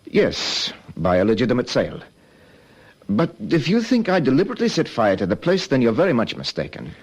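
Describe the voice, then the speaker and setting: Prickly, animated hotel owner. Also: male voice, mid-range voice, brisk, slightly rough. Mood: defensive, indignant. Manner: defensive, indignant, hedging. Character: evasive, prickly male hotel owner under police questioning. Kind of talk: old radio detective drama acting.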